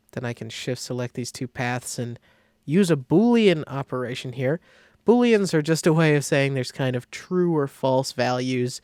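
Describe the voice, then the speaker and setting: A man giving a casual step-by-step software tutorial, thinking aloud informally as he demonstrates. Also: mid-range voice, gravelly, dry recording. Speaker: design tutorial instructor.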